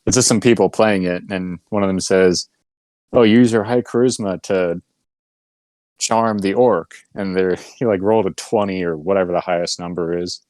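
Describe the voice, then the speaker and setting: A man's voice: sits low, steady tone, moderate pace, darkish tone, dry recording. A man co-hosting a podcast, chatting casually about video games and telling anecdotes with quoted dialogue. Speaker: podcast co-host.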